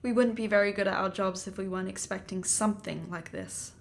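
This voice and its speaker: A medium-pitched woman played by an actress is a supernatural investigator in an audio drama. She is calm and composed, cryptic in what she gives away, guarded yet quietly protective. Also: a little fast, vocal fry, lightly acted. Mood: guarded, wry.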